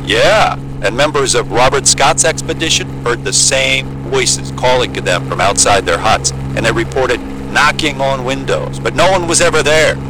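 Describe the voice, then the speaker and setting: A man's voice: medium pitch, moderate pace, hardly any pauses, natural voice. A man acting as a blunt, skeptical pilot in an audio drama, talking in a casual, sweary, folksy way. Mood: incredulous, warning. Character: blunt, skeptical, chatty male Antarctic bush pilot. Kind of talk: naturalistic audio drama dialogue. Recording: loud engine hum and vehicle noise.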